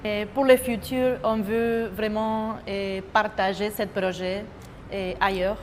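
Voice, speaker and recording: A woman from Argentina, her first language Spanish, speaking slowly and stopping often to find her words as she describes her dance work. Her voice sits at an ordinary pitch. The recording has a long hall reverb and a faint ambient hum underneath. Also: flat delivery. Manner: halting, searching for words with many fillers. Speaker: choreographer. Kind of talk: interview testimony.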